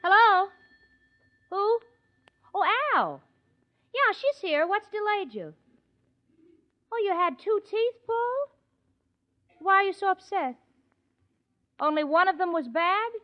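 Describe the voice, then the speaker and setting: High, animated, wry young woman. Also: slow pace, long pauses, heavily acted. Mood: puzzled. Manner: expressive, wry. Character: warm, sensible, wry young working woman. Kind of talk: 1940s radio comedy acting. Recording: muffled noisy 1940s radio, studio audience.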